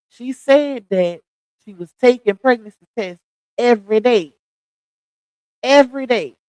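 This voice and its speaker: A woman's voice: medium pitch, no room sound, poor audio. A woman in her thirties chatting casually on a livestream, gossiping, laughing often and talking straight to her viewers. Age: 30s.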